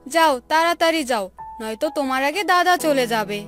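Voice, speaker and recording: A woman's voice: high-pitched, animated, brisk, a bit bright, faint music behind. A woman voicing a quarrelsome, competitive young daughter-in-law in a Bengali cartoon, insistent and bossy.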